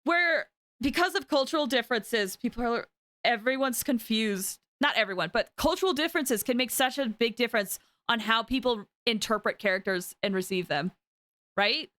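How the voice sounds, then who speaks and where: Bright-voiced woman streaming a game. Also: fairly high pitch, normal speed, dry recording. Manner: animated, rambling, reacting to viewer messages. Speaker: game livestreamer. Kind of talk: casual livestream chat.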